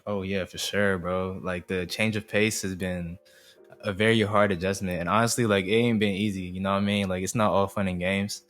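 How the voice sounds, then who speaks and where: A man's voice: low-pitched, flat delivery, a little fast, fairly bright tone, faint music behind. A young man, a singer and producer born in Indonesia and raised in the US, chatting casually as an interview guest in a laid-back, slangy way. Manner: laid-back slangy talk, constant "you feel me". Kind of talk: casual chat.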